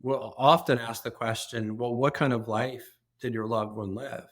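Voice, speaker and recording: Man, mid pitch, interview guest; earnest explainer who restarts phrases. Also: steady tone, a little slow, fairly bright tone. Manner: earnest, explanatory, repeats words when starting. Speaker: organ donation organization executive.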